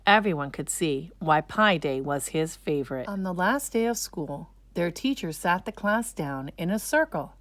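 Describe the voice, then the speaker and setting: A woman reading a children's story aloud as an expressive narrator. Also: on the low side, normal speed, few pauses, no room sound. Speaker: storybook reader.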